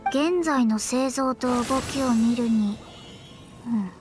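A woman's voice: on the high side, slow. A woman playing a proud, confident young female astrologer in an anime game, speaking politely with a boastful, self-assured manner. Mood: instructive. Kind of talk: anime game character dialogue. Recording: background music under clean voice.

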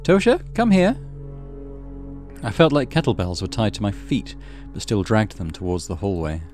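A man narrates a horror game in a low, expressive voice. His reading is dramatic and varies a lot in pitch, with faint music underneath. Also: brisk. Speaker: let's play host reading a game aloud.

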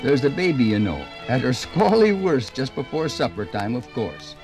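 A man's voice: mid-range voice, sing-song, moderate pace, a little mellow, close to an everyday voice. An actor playing an older, homespun working man who chats to listeners in 1950s radio drama narration. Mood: cozy, chatty. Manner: chatty, folksy, addressing listeners directly. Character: folksy old Minnesota working man, homespun and hopeful. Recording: 1950s radio record with music behind.

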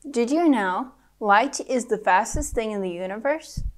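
A young adult woman presenting a children's science lesson, reading scripted simple English deliberately and carefully, with pauses between phrases. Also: on the high side, lively intonation, over loud music.

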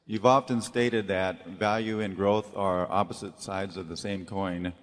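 A man's voice: low-pitched, fairly even intonation, a little slow. A man asks a question from an audience in hesitant, broken phrases. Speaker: audience member asking a question. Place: audience floor of a large hall. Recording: distant reverberant hall PA.

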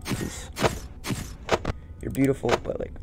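A man's voice: takes it slowly, loud music behind the voice. A young man giving casual game commentary, nervously muttering and repeating his words over and over. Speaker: gaming video host. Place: indoor room at home.

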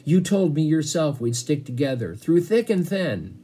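Eager, stubborn storybook lad, acted by a man, pitched up and earnest. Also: sing-song, moderate pace, dark tone. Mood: insistent. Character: earnest, plucky twelve-year-old boy stowaway.

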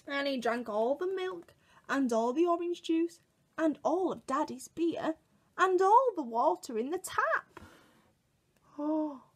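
Very animated British woman reading to children. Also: high voice. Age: 20s. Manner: expressive and exaggerated, addressing young children. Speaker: children's museum worker reading a story to children. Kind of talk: animated children's picture-book storytelling. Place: small room at home, indoors.

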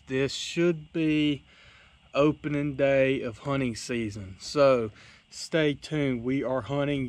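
A man's voice: medium pitch, darkish tone. A young man, rambling casually to his viewers with many fillers, recorded outdoors on a camera held at arm's length. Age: young adult. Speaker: outdoors channel host. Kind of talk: casual vlog outro. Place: outdoors in a dirt field by trees.

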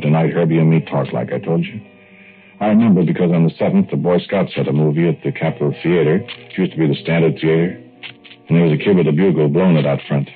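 An actor playing a plainspoken small-town American tradesman in 1940s radio drama, talking in a folksy, rambling, ungrammatical way. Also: a bass voice. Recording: muffled old radio recording with music.

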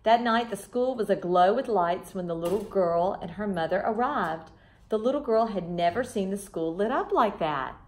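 A woman's voice: medium pitch, animated, moderate pace, a little mellow. Woman reading a picture book aloud. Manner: clear teacherly storytelling with simple asides. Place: front room of a house, indoors.

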